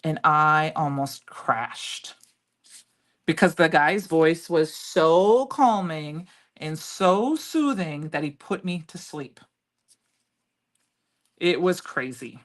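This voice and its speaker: A middle-aged woman with a loud voice, chatting and rambling as she demonstrates a craft project live and answers viewers by name. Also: sits low, lively intonation, slow. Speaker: crafting livestream host. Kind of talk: casual chat while demonstrating crafts.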